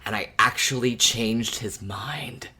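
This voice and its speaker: A man's voice: medium pitch, takes it slowly, pushed and bright, slightly rough. A man co-hosting a podcast in casual discussion, explaining things in a thoughtful, hedging way. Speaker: podcast co-host.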